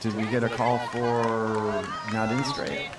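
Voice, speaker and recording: A man's voice: on the low side, level tone, takes it slowly, a loud crowd. An American man giving live play-by-play commentary on an amateur match, explaining the rules as he goes. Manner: explains rules to newcomers, fillers and restarts. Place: outdoors at a sports field. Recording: echoey outdoor sound from far off with loud noise.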